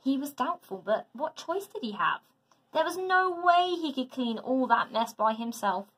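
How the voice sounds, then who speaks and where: A woman teacher narrating a children's story aloud in a dramatic, deliberate, expressive way. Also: sits high. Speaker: teacher reading to a class.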